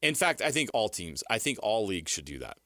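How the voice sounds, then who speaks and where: An American man delivers opinionated sports talk in a fairly low, forceful voice. He is emphatic and firm, restating points to drive them home. The recording is very dry and free of room sound. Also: very animated, brisk, no room sound. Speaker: sports talk show commentator.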